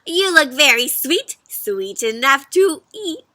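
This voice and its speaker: A woman voicing a sly, hungry male fox in a children's storybook, with emphatic, drawn-out delivery. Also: sits high, big pitch swings, pushed and bright, no room sound, clean studio sound, full character voice. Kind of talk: children's storybook read-aloud.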